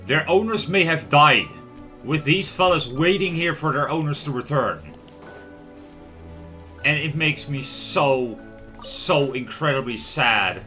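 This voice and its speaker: A man hosting a livestream, giving casual, expressive, rambling game commentary addressed to his viewers. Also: a little high, slow, faint music behind. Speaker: livestream host.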